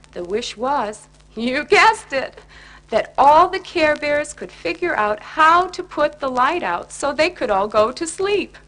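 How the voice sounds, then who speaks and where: High-voiced woman telling a bedtime story. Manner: expressive, storytelling. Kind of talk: children's bedtime story narration. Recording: noisy 1980s children's record.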